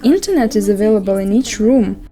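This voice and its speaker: A woman reading a scripted promotional narration in a measured, formal way. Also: medium pitch, animated, mellow, soft background music. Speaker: voice-over narrator.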